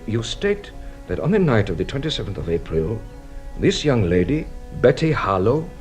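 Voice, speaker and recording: An actor playing a shrewd, courteous French police inspector in a radio drama, questioning with theatrical politeness. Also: male voice, medium pitch, a little mellow, close to an everyday voice.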